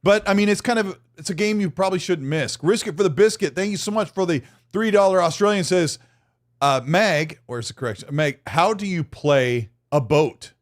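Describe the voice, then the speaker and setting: A man hosts a casual gaming podcast, reading viewer messages aloud. His voice sits a little higher than most men's. He fires off quick, upbeat thank-yous as he goes. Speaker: podcast host reading super chats.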